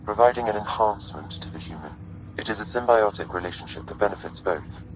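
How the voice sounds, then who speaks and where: An actor in a video game playing a courteous sentient artificial intelligence that speaks in a measured, formal, precise way. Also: male voice, lowish voice, music in the background, close to an everyday voice, poor audio. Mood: calm, reassuring.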